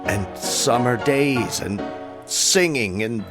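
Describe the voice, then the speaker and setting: An actor playing an urbane, middle-aged bachelor playwright with a suave, formal way of speaking, in a radio drama. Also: male voice, medium pitch, lively intonation, slow. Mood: ardent. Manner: suave, dry, formal phrasing. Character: urbane, aloof bachelor playwright, secretly romantic. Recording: studio radio drama with music underneath.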